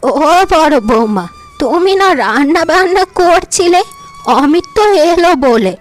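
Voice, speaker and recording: A woman voicing a fussy middle-aged village mother-in-law in a cartoon, coaxing and fussing in a shrill, raised voice. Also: lively intonation, unhurried, faint music behind. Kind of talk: cartoon voice acting.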